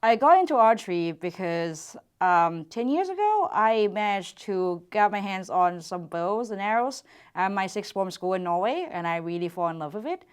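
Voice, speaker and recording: A woman in her twenties from Thailand, answering interview questions about her sport in an earnest, reflective way with hesitant pauses. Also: mid-range voice, animated, clear, resonant tone. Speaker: archer and university PhD student.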